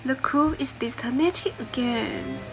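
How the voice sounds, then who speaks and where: A woman's voice: high-pitched, animated, slow, creaky, full character voice, poor audio. A woman playing an inquisitive investigator who partners a detective in an amateur radio drama. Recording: music behind the voice.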